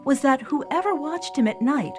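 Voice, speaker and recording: A woman narrating a scripted fairy tale for children in an expressive storybook delivery. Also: high-pitched, normal speed, music in the background.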